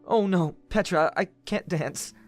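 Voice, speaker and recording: A woman's voice: lowish voice, unhurried, soft background music. A woman playing a shy, earnest young prince in an audio drama, with a hesitant manner. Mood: unsure.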